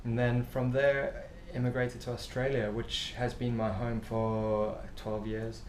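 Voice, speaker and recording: A middle-aged man from South Africa, an author and travel writer, telling stories in a relaxed interview with lots of fillers. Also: mid-range voice, unhurried. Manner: rambling, warm storytelling with laughs and many fillers. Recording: echoey room with faint noise.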